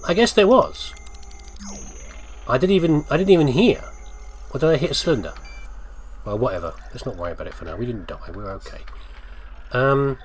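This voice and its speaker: A man commentates casually on a video game, thinking aloud in a rambling way. His pitch swings up and down in an animated fashion. A faint layer of game ambience noise sits behind his voice. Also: a little fast, pauses often. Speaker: gamer commentating on playthrough.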